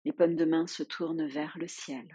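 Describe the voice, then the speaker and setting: A woman leading a guided yoga nidra relaxation as a teacher, giving soothing, unhurried instructions with long pauses. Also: sits low, steady tone, mellow, slightly rough, no room sound.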